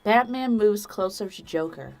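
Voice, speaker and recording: A woman's voice: mid-range voice, animated, normal speed, darkish tone. A woman reading scripted third-person narration for an audio drama. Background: background music.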